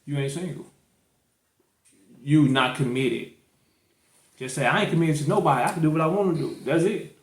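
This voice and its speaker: A man's voice: a little high, normal speed, pauses often. A man with a St. Louis accent hosting a podcast, pressing his point in a casual debate and repeating himself. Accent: St. Louis, Missouri. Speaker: podcast host. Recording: echoey room.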